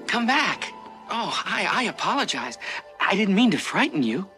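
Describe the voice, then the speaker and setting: A boyish young cartoon fairy prince voiced by a man, gallant and eager. His bright voice swings widely in pitch over music, courteous yet easily flustered, at an unhurried pace. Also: very animated, exaggerated voice. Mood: startled, coaxing. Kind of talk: animated fairy-tale film acting.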